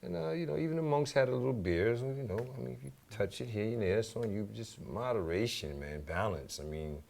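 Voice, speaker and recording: A man with a very low, gravelly voice and a New York accent. He talks casually as a guest in an interview, rambling along in slangy phrases and sprinkling in "you know" and "man". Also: animated, brisk, darkish tone. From New York City. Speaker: DJ and music teacher, radio show guest.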